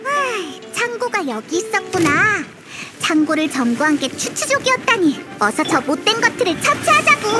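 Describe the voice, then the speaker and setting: A woman voicing a small, perky, childlike fairy companion in an anime-style game, squeaky, eager and blunt. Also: sing-song, moderate pace, hardly any pauses. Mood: busy, excited. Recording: music behind the voice.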